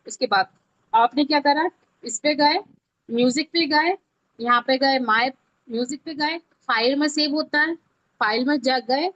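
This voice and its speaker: A woman's voice: high voice, fairly bright tone. A woman instructor giving an informal live online tutorial, explaining step by step and often checking that learners follow. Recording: dull lo-fi online call audio.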